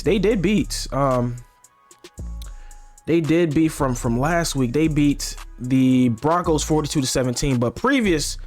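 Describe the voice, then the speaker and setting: A young man under the weather, chatting casually and slangily about football picks, rambling and repeating himself. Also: fairly high pitch. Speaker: sports picks vlogger. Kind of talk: casual sports predictions chat.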